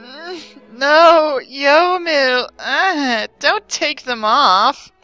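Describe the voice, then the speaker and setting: A woman's voice: high-pitched, extremely slow, bright, forceful tone, dry recording, muffled recording, soft background music, full character voice. A woman playing a genteel, indulgent but easily overwhelmed mistress with theatrical delivery in a comic story reading. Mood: distressed.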